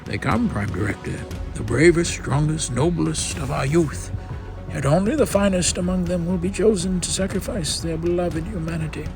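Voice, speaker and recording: A man's voice: fairly high pitch, moderate pace, gravelly, music in the background, pitched above the performer's own voice. A man voicing an anxious, reverent herald to an alien ruler in a comic-book story, formal and earnestly theatrical. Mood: solemn.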